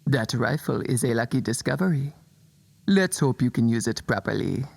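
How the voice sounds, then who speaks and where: An actor plays a gruff creature companion in an audio drama, with a creaky, gravelly voice. The delivery is stern, in a very dry studio sound. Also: mid-range voice, lively intonation, normal speed, darkish tone, no room sound. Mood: stern, appraising.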